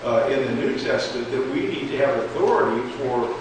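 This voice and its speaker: A man of medium pitch offers an informal explanation as a class member. He sounds far from the microphone in a heavily echoing room, with clear noise over the recording. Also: lively intonation, fairly quick. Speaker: church class member answering. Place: echoey indoor room, far from the mic. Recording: echoey room, distant mic, clear noise.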